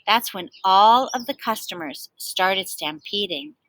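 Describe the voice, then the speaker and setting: Bright, animated woman reading a storybook. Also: medium pitch, a little slow, slight background noise. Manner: animated, with emphatic stresses and chatty asides. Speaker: teacher reading a picture book aloud. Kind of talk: expressive storybook read-aloud for children.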